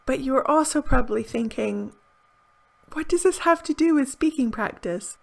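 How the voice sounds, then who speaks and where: A woman presenting a lesson video in a clear, upbeat, carefully enunciated teaching manner, recorded indoors on a close camera mic. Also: sits high, animated, normal speed. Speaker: English teacher.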